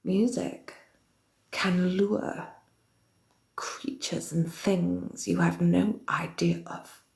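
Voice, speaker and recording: Woman playing a whispering dark queen. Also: on the low side, fairly even intonation, heavily acted. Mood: sinister, theatrical. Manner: theatrical, slow, often breathy whisper. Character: centuries-old female queen of darkness, villainous, theatrical. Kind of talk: in-character chat with viewers. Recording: roomy echo.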